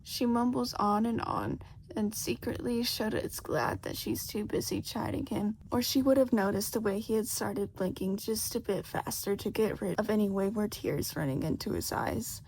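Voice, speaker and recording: A woman's voice: medium pitch, moderate pace, darkish tone, slight background noise, poor audio. A woman reading a story aloud as scripted narration in an amateur audiobook style.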